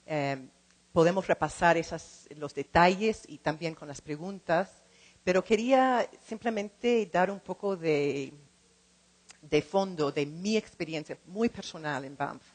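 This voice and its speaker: A middle-aged woman speaking in a second language as she gives an informal talk, halting and repeating words as she searches for the next one. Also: fairly low pitch. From lives in California. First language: not Spanish, speaks it as a second language. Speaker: translator and program co-director.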